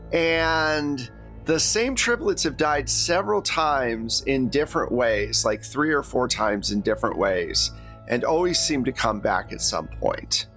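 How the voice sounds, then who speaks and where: A man's voice: mid-range voice, a bit bright. A man working as game master, recapping and narrating a tabletop game in an informal, conversational way.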